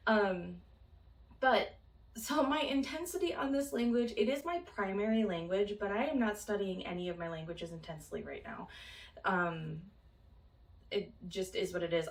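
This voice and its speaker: Chatty woman, echoey room, mic far off. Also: medium pitch. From Minnesota, United States. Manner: rambling, many ums, light laughs. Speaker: vlogger. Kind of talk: casual chat. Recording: roomy, from off the mic.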